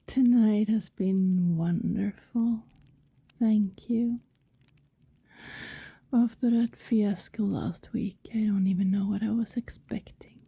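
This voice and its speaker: A woman playing an affectionate, wistful vampire neighbour in an intimate ASMR roleplay, speaking softly and tenderly, often in a hesitant whisper. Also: medium pitch, no echo, exaggerated voice. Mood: tender, pleased. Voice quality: soft, breathy, often whispered. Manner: slow, tender, hesitant murmuring.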